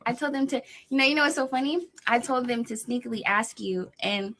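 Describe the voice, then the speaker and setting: A woman's voice: fairly high pitch, brisk, fairly bright tone. A woman hosting a livestream in casual chat, laughing often. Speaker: livestream host. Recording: noisy livestream audio.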